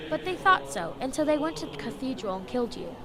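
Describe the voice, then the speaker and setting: A woman's voice: high voice, very animated, fast, very noisy. A woman playing a curious, frank young girl in a children's audio drama, chatty and candid. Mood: frank, sympathetic. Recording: music under the voice.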